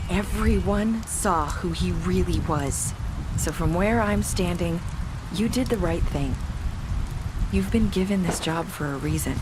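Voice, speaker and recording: Woman acting sorrowful young woman, defiant, halting, earnest, naturalistic game drama, steady rain noise. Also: fairly low pitch, natural voice.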